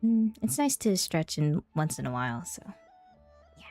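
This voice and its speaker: A woman's voice: low voice, very animated, moderate pace, a little mellow, no echo, soft background music. A woman game streamer chatting casually with the viewers of a livestream.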